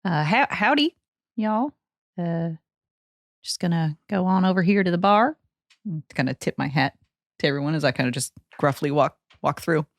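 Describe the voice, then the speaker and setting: Playful woman player, lower voice, animated, dry studio sound. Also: no room sound. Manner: playful, chatty, quick to laugh. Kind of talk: casual tabletop play.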